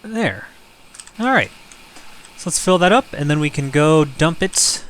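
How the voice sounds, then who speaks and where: A young man hosting a farming game let's play, slightly hoarse and rough-voiced, rambling and joking at himself as he thinks aloud. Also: a little high, lively intonation, measured pace, a bit bright. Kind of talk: casual gameplay commentary.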